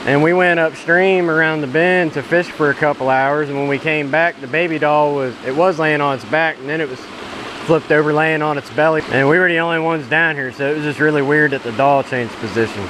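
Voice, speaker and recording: Brief: relaxed male fishing vlogger, slightly high voice, stream noise behind, outdoor camera mic. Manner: easygoing storyteller, chuckling. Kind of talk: casual outdoor fishing chat. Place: wooded creek outdoors. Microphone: action camera. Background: rushing stream water.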